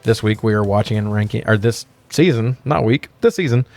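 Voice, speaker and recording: A man's voice: fairly low pitch, brisk, vocal fry, darkish tone, no room sound, soft background music. A middle-aged American man co-hosting a podcast in casual, self-deprecating, sometimes sweary banter. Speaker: podcast co-host.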